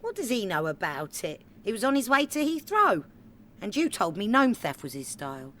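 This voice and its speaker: A woman's voice: medium pitch, very animated, normal speed, a bit bright, a little hiss or hum. An actress playing a loyal, easily indignant working-class wife and mother with a London accent in a comedy radio play. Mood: puzzled. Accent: London English.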